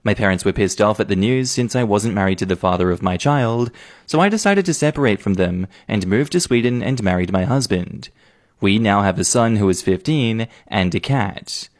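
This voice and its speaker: A man reading online advice posts aloud in a steady, even read. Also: on the low side.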